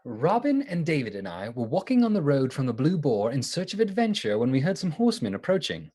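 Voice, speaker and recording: An actor playing an eager young outlaw in a radio play, excitable and bursting to tell the story. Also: male voice, fairly high pitch, brisk, breathy edge, heavily acted. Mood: excited.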